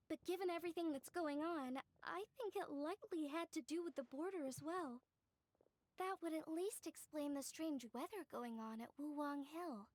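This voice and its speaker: A woman voicing a gentle, worried young woman in a video game, speaking in a measured, reflective, hesitant way. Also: high-pitched.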